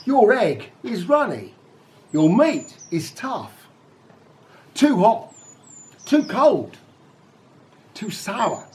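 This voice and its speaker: A man's voice: high-pitched, huge pitch swings, very slow, pauses often, a little mellow, exaggerated voice. A man playing a fussy, always-hungry king in an outdoor storybook performance, making grand, demanding pronouncements. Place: back garden outdoors.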